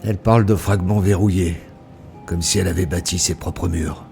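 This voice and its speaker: A man playing a brooding, barely human narrator, speaking in a slow, pensive and introspective way in a scripted audio drama. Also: sits low, fairly even intonation, creaky, over music. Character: brooding male being, human only for seven seconds.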